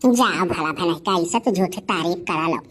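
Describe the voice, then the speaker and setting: A woman's voice: on the low side, normal speed, voice pushed down, natural voice. A woman voicing a coquettish, complaining village sweetheart with an Awadhi accent in a comedy cartoon. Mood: coy complaining. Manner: coy, sentimental, grumbling. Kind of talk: comic cartoon dialogue.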